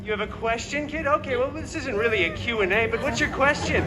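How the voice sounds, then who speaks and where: A man's voice: extremely high pitch, brisk. A man playing a wisecracking, self-loving masked antihero who tells a comic bedtime story to a crowd with theatrical, sarcastic asides. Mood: playful, showy. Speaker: live stage show storyteller. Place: outdoor theme park stage. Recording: outdoor theme park show, music and crowd behind.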